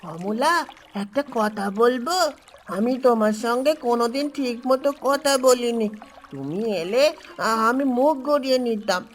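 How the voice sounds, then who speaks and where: A woman's voice: fairly high pitch, measured pace, a little hiss or hum, lightly acted. A woman playing a timid, frightened little village boy in a cartoon, speaking earnestly. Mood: contrite. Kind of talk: cartoon dialogue.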